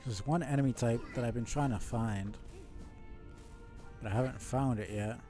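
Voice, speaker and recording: A man's voice: mid-range voice, moderate pace, mellow, soft background music. A man doing casual live gameplay commentary, thinking aloud and swearing now and then. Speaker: live streamer playing a video game. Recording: faint background noise.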